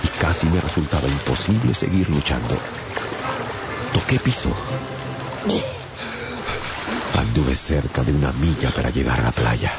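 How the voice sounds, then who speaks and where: A very deep, gravelly male ship's surgeon tells his tale of adventure at a measured, deliberate pace. It is a radio drama, with loud music running under the voice. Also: dull audio. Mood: weary, dramatic. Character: seafaring English surgeon recounting his shipwreck adventures. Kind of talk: dramatized first-person radio narration.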